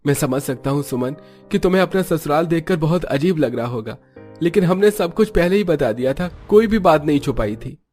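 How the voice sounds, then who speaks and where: An actor playing a poor, troubled but patient young farmer husband in a cartoon story. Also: male voice, fairly high pitch, expressive, brisk, darkish tone, soft background music. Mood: understanding.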